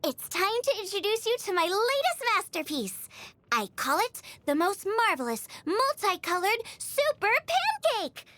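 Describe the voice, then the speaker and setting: A woman voicing a bubbly, eager teenage girl chef in scripted video game lines, perky, earnest and expressive. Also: extremely high pitch, a little slow, no room sound, heavily acted. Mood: enthusiastic.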